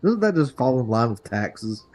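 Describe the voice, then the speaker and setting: A man's voice: medium pitch, big pitch swings, moderate pace, a little mellow. A man making casual banter as a friend on a gaming livestream. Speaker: friend co-playing on stream. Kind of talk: casual chat. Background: music.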